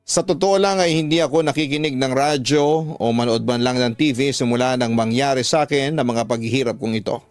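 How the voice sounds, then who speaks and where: A man with a Filipino accent voicing a sorrowful widow in her fifties, reading her letter for radio in a measured, earnest way. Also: medium pitch, dry recording, soft background music, natural voice. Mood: sorrowful, weary. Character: sorrowful fifty-one-year-old widow recounting her hard life.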